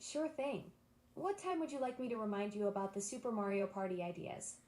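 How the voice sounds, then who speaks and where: A woman's voice: medium pitch, animated, fairly quick, darkish tone, echoey room. A synthetic female voice assistant talking in a bubbly, enthusiastic, eager-to-please way. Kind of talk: upbeat synthetic assistant chat.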